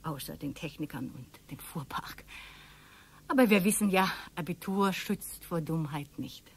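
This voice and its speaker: A woman playing rambling eccentric Karin, husky. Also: low-pitched, animated, a little slow, exaggerated voice. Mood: boastful. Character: eccentric sister-in-law Karin, rambling fantasist. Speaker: radio drama actor. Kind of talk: amateur radio play reading.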